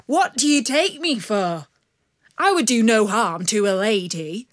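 Woman doing a charming young outlaw chief, courtly, teasing, unhurried, storybook. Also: on the high side, expressive, no echo, clean studio sound.

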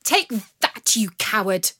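A woman voicing a fiery, high-spirited young noblewoman who speaks defiantly and forcefully. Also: very animated, slow, dry recording, studio quality, heavily acted.

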